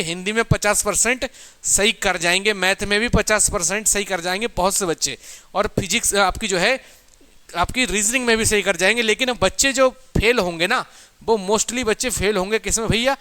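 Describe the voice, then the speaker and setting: High, bright-voiced male coach. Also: moderate pace, smooth. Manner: repetitive, asks questions and answers them himself. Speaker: exam coaching teacher. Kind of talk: informal exam strategy lesson.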